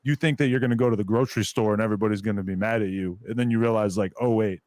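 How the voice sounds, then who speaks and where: A man's voice: lowish voice, fairly even intonation, rapid-fire, darkish tone, no room sound. A man hosting a podcast, interviewing in a casual, reflective, informal way with some mild swearing.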